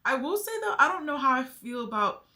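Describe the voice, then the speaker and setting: Young woman beauty vlogger, bright clear voice, rambly and chatty, talks to y'all. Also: sits high, big pitch swings, fairly quick. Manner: chatty, thinks aloud, addresses viewers as y'all. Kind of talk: casual makeup tutorial chat.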